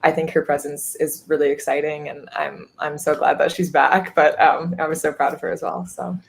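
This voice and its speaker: A woman's voice: sits low, steady tone, moderate pace, vocal fry, a little hiss or hum. A woman in her twenties, a college athlete, chatting upbeat in a podcast interview with many fillers. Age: 20s. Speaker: guest, college goalkeeper.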